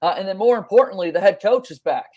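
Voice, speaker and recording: A man's voice: sits high, animated, fast, darkish tone, low-quality recording. A man in his twenties presenting a sports podcast as host from a room at home over a video call. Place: home bedroom or office, indoors. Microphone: video call, webcam framing, wired earbuds.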